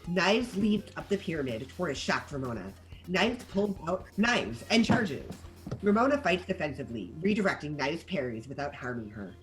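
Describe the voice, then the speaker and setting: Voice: mid-range voice, sing-song, normal speed, fairly bright tone, soft background music. A narrator reading scripted stage directions for a table read.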